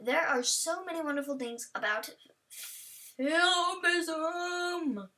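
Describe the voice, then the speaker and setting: A woman's voice: very high voice, slow, pushed and bright. A woman hosting a reaction video in a casual, rambling, playful way, chatting to what she watches. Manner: rambling, playful, talks to the on-screen character. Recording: voice a bit far from mic.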